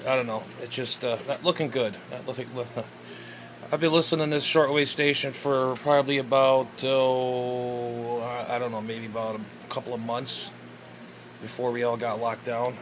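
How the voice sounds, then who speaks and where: A man's voice: mid-range voice, level tone, moderate pace. A man who runs a ham radio station chats casually. He rambles hesitantly with lots of filler words over a faint hum of background noise. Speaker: amateur radio operator. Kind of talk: casual vlog commentary.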